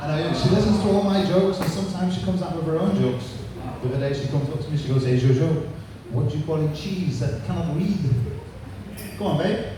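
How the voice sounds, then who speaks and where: A man's voice: a little high, fairly quick. A man in his thirties with a Manchester accent, doing a crude, punchy stand-up set on a pub stage. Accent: Manchester English. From England. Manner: punchy crude joke delivery. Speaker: stand-up comedian. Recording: echoey room from far off, noisy.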